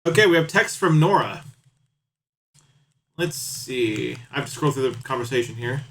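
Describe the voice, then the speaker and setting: A man's voice: medium pitch, expressive, moderate pace, a bit bright. A man hosting a casual game livestream, chatting and bantering playfully with viewers as he reads out choices. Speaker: livestream host reading out game and chat choices. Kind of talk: casual stream chat and commentary on a visual novel.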